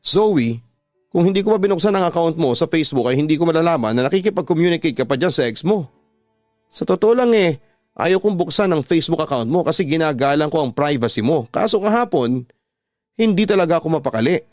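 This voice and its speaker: A man's voice: a little high, expressive, fairly quick, darkish tone, no echo, pitched above the performer's own voice, close to an everyday voice. Radio drama male narrator as jealous Filipino boyfriend, hurt, reproachful, agitated, dry sound, music bed.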